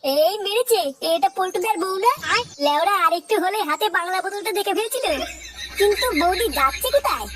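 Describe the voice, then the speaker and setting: An actor voicing an excitable, foul-mouthed young cartoon sidekick with a shrill, artificially pitched-up voice. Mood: alarmed gossip. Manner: crude, slangy, hurried. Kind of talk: comic cartoon dubbing.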